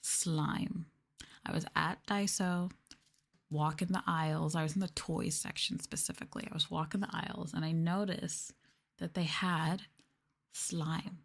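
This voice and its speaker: Soft low-voiced woman, husky ASMR. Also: fairly even intonation, measured pace. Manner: soft, intimate, at times dropping to a whisper. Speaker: streamer, VTuber. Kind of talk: soft-spoken ASMR with casual chat.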